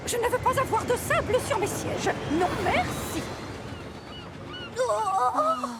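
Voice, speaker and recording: A woman playing a vain, fussy, excitable female railcar in a children's cartoon, haughty and theatrical. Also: extremely high pitch, slow pace, vocal fry, a loud crowd, heavy background noise, exaggerated voice. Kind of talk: children's animated series dub.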